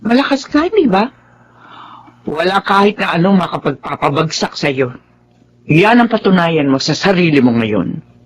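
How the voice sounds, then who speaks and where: Very animated Filipino wife. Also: female voice, on the low side, moderate pace, long pauses, a little hiss or hum. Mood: earnest, consoling. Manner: expressive, dramatic. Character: sharp-tongued, long-suffering wife with a steady heart. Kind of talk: Tagalog radio drama acting.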